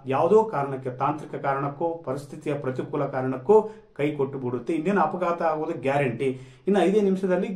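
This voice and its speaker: A man's voice: on the high side, darkish tone. A man presenting explanatory news to viewers, emphatically repeating and rephrasing key phrases. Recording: echoey, reverberant room.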